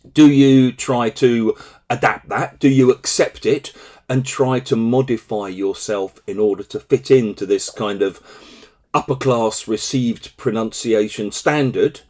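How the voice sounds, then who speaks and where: A man, an English teacher trainer, giving a reflective, opinionated talk to camera with casual fillers. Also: mid-range voice. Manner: conversational, opinionated, with fillers like "you know".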